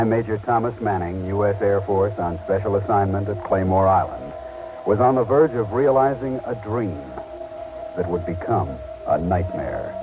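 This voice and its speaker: An actor playing an earnest, driven Air Force astronaut and loving husband in a vintage radio drama, measured and intimate. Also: male voice, lowish voice, poor audio. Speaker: lead actor and narrator. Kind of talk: 1950s-60s radio drama acting.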